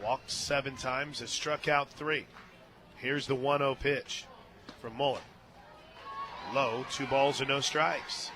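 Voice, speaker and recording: A man calling softball live on radio as play-by-play announcer, mixing clipped pitch calls with conversational asides. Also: steady tone, takes it slowly, long pauses. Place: radio booth at an outdoor softball stadium. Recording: live stadium booth, clear crowd noise. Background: stadium crowd.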